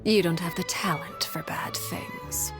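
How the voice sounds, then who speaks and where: Casting: woman as elegant, dangerous noblewoman for anime game, lowish register, poised, condescending, commanding. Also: whispered, natural voice. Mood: cool, teasing. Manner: formal, measured, condescending. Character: composed, commanding noblewoman villain, coolly courteous. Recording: faint music behind. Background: game music.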